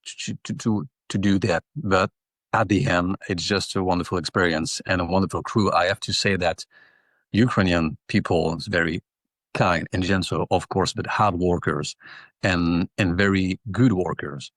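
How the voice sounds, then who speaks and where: Deep-voiced man, halting non-native English. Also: fairly even intonation, fairly bright tone, no room sound. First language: not English. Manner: halting, repeats words while searching for English. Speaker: actor and interview guest. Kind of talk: casual interview answers.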